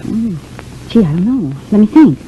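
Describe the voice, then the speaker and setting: An actress playing a quick-thinking, bossy, protective showgirl friend in a vintage radio soap, talking briskly and chattily. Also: mid-range voice, very animated, mellow, lightly acted. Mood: conspiratorial. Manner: brisk, chatty, takes charge. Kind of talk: 1930s-40s radio soap opera acting. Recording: muffled, hissy vintage radio recording.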